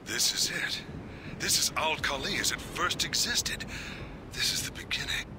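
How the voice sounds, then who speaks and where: An actor playing an intense special forces soldier in a video game, dramatic and emphatic, with loud music behind him. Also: male voice, on the high side, expressive, normal speed, background noise, lightly acted. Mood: grave. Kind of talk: video game cutscene acting.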